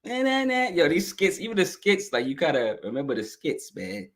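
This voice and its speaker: A man's voice: sits high, sing-song, normal speed, gravelly. A man hosting a podcast album review in a casual, hyped, slangy way, speaking straight to his viewers, his voice scratchy this morning. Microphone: live stream podcast mic.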